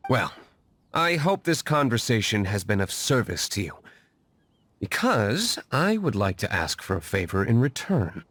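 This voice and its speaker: An actor playing a brooding, wealthy vigilante in a video game dub, curt and formal with a dry, disdainful edge. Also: male voice, lowish voice, very animated, measured pace, a bit bright, a little hiss or hum. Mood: stern. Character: brooding wealthy male vigilante, aloof and stern.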